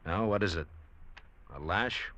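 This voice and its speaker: A man's voice: deep voice, unhurried, pushed and bright. An actor playing a tough, wry American man in a 1940s radio drama, laconic and dry as he tells his own adventure. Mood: cool, wary. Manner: hard-boiled, laconic, dry. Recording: old radio recording with music underneath.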